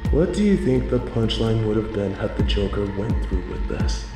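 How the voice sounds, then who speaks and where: A man playing a playful, sinister supervillain in an audio drama, teasing and gloating with theatrical, drawn-out emphasis. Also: fairly low pitch, sing-song, echoey room, over music. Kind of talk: scripted audio roleplay villain monologue.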